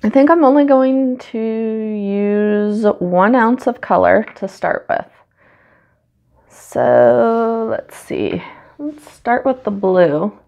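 A woman's voice: on the high side, lively intonation, slow, gravelly, highs cut off. A woman with a cold and a stuffy nose, chatting casually with lots of ums while she paints at home. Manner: chatty and rambling, many ums. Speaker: acrylic pour artist. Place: home studio, indoors.

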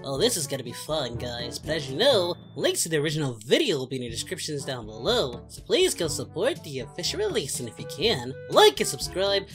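Woman voicing an animated, excitable cartoon hero. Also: deep voice, a little fast, hardly any pauses, music in the background. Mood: upbeat. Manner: animated, exclamatory, repeats phrases. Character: excitable cartoon hero Goku reacting as a proud father. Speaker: reaction video host. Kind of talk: casual reaction commentary in character.

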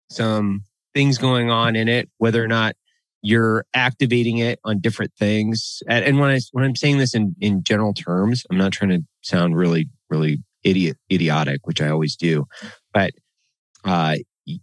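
A man co-hosting a podcast in casual, profane banter, blunt and animated, talking into a boom mic in a studio room. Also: no echo. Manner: blunt, animated, swears freely. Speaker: podcast co-host. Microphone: studio mic on boom arm.

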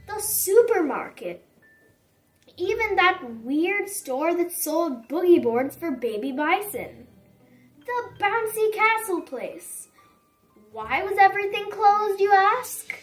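Woman, high pitch, slow emphatic storybook narration, expressive, faint music behind. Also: far from the mic. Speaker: children's storybook reader. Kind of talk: dramatic read-aloud narration for young children.

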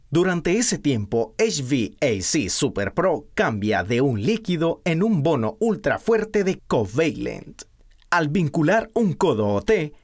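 An instructional narrator of medium pitch reads a product script. The delivery is stiff and read-out, yet the pitch moves up and down a great deal.

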